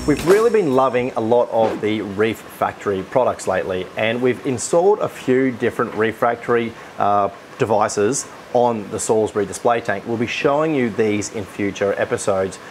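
A man's voice: on the low side, lively intonation, moderate pace, hardly any pauses, a little hiss or hum. Enthusiastic Australian man unboxing gear. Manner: enthusiastic, many restarts and fillers. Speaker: aquarium shop host. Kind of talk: product unboxing talk.

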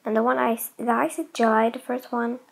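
A woman's voice: fairly high pitch, level tone, fairly quick, vocal fry. A young woman making a casual announcement to viewers in a hesitant, rambling way, often repeating herself. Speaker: host of a fan voice-acting channel.

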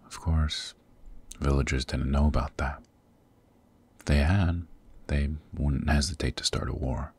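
An extremely low, husky demon king, acted by a man for an ASMR roleplay. He is commanding yet tender, protective and possessive, speaking formally and up close in feel. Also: fairly even intonation, a little slow, a little mellow, full character voice. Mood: somber. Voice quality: husky, very deep. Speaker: voice actor.

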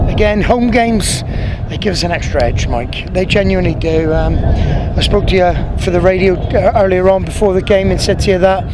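A man in his thirties with an English accent, a football manager speaking frankly after a match, repeating himself and restarting phrases, recorded pitchside in an outdoor stadium. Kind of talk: post-match interview. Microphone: handheld interviewer mic, medium framing. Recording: outdoor noise and passing traffic.

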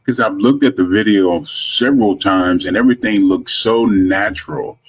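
A middle-aged man hosting a music interview in a deliberate, complimentary way. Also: fairly low pitch, a crowd in the background, low-quality recording. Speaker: music podcast host. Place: small indoor room, video call. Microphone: studio mic up close, headset.

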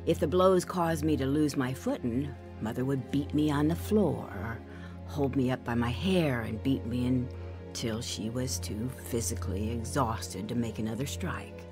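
A grown woman giving scripted first-person testimony in an audio drama. She narrates in a measured, reflective way, with clear music underneath. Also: extremely low pitch. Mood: reflective, grave. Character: grown woman recounting her abusive childhood.

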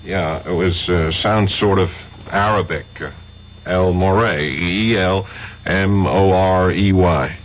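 An actor with an American accent playing a tough, wisecracking, wary federal detective in a 1970s radio drama. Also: male voice, sits low, fairly even intonation, takes it slowly. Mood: casual, probing. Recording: muffled, hissy 1970s radio recording.

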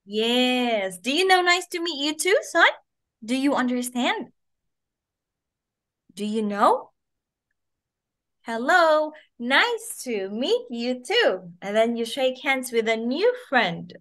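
A young woman from the Philippines teaches a child over a video call. She speaks slowly and deliberately, her fairly high voice swooping up and down as she models each phrase. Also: big pitch swings, a bit bright. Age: 20s. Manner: slow, sing-song modelling of phrases for a young learner. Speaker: online English teacher.

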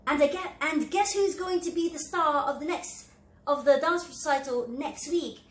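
Woman acting a showy little storybook chicken, high-pitched, bragging, self-centred, roomy echo. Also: moderate pace, recorded from a distance, close to an everyday voice. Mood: boastful, excited. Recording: roomy echo, light background noise.

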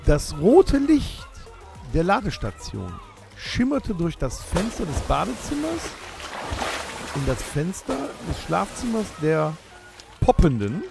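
Animated, higher-pitched man with gravelly edge. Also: takes it slowly. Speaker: podcast host reading out a quiz question. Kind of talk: casual quiz banter. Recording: music playing behind the voice. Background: background music.

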